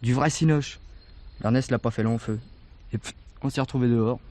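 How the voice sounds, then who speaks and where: Man acting as teenage lad, rapid speech, slangy, insolent, defensive, working-class, radio drama. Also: medium pitch, darkish tone, close to an everyday voice. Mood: offhand, grudging. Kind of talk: French radio drama dialogue.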